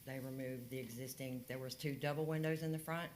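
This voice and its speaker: A man's voice: medium pitch, steady tone, brisk, slight background noise. A man working as a code enforcement officer, reporting his findings at a county hearing in a plain, matter-of-fact way with frequent fillers.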